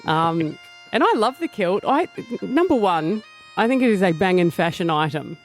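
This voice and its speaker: An Australian woman co-hosting a breakfast radio show from a studio, teasing and enthusiastic, with quick laughs. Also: fairly low pitch, expressive, faint music behind. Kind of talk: casual breakfast radio banter.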